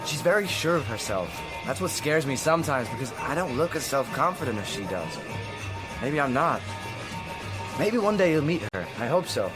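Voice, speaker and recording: A man's voice: mid-range voice, big pitch swings, normal speed, music in the background, close to an everyday voice, rough recording. An actor playing a naive, lovesick sixteen-year-old boy in a radio drama, speaking hesitantly and earnestly. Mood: unsure, lovestruck.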